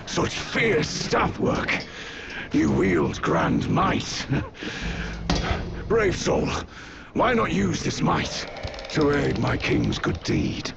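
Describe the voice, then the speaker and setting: A man's voice: fairly high pitch, huge pitch swings, extremely slow, gravelly, pauses often, lo-fi sound, very noisy, exaggerated voice. An actor playing a proud, belligerent monster warrior who serves a king, grandiose and theatrical, in a video game. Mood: impressed, grandiose. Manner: grandiose, theatrical, archaic phrasing.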